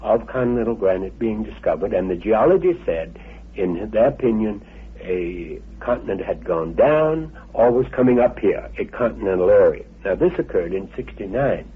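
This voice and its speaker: Male interviewee, deep-set and heavily husky, halting and explanatory, thin old-radio sound. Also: expressive. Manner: halting, deliberate, explanatory phrasing. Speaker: interviewee recounting his father's teachings. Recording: muffled, band-limited old radio recording.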